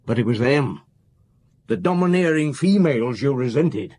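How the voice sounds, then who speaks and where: An actor playing a shrewd, relentless police chief inspector in a radio drama, probing and measured as he interrogates. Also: mid-range voice, lively intonation, darkish tone, husky, no echo, clean studio sound. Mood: accusing. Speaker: actor in lead detective role.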